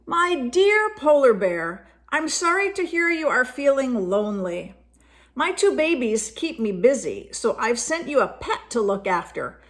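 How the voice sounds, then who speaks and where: A woman voicing a caring mother brown bear in a storybook, speaking with gentle concern. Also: sits high, big pitch swings, unhurried, pitched above the performer's own voice.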